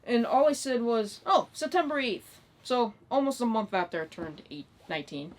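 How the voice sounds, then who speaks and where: A woman's voice: a little high, animated, normal speed. A nineteen-year-old woman ranting in a casual, unscripted vlog style, exasperated and emphatic, with plenty of swearing. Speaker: online video creator.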